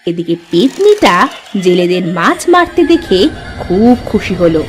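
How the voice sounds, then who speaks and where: A woman narrating a cartoon fairy tale in a lively storytelling manner. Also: medium pitch, a little slow, faint music behind.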